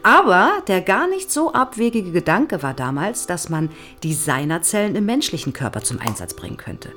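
An actress playing an eager, probing middle-aged research psychologist who explains politely in an audio drama. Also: female voice, on the low side, sing-song, moderate pace, a bit bright, faint music behind. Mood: animated, enthusiastic. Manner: polite, explanatory, keenly interested. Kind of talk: naturalistic audio drama dialogue.

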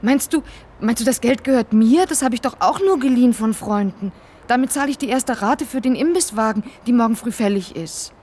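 Lively, high-voiced snack-stand woman. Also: a little fast. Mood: worried, pleading. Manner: chatty, bantering, plain-spoken. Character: brisk, kind-hearted, streetwise woman running a snack stand. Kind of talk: German radio crime drama acting.

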